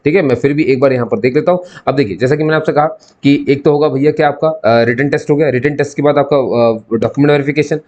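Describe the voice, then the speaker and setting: A man's voice: medium pitch, a little mellow. A man giving an informal explainer to viewers as a channel host, instructive, repeating key points and posing questions that he then answers.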